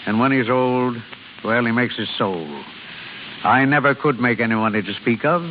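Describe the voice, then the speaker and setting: An actor playing a wry, wistful older father giving gentle advice in a vintage radio drama. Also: medium pitch, steady tone, moderate pace, natural voice. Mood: wistful, reflective. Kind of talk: 1930s-40s radio drama acting. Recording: hissy, tinny old radio broadcast.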